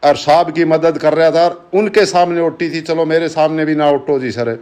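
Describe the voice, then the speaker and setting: A man's voice: on the high side, steady tone, normal speed, darkish tone, a little hiss or hum. A man arguing insistently yet respectfully in a recorded phone call, repeating his questions. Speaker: local supporter on a phone call. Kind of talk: argumentative phone conversation.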